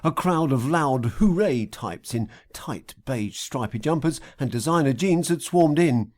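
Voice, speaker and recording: A man reading a satirical audiobook story in a theatrical, deliberate manner. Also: medium pitch, lively intonation, darkish tone. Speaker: author reading an audiobook extract. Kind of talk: scripted satirical audiobook narration.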